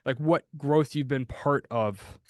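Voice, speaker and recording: A man's voice: mid-range voice, slow pace, dry recording, clean studio sound. A man hosting a podcast interview in a casual, chatty, rambling way. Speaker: podcast host.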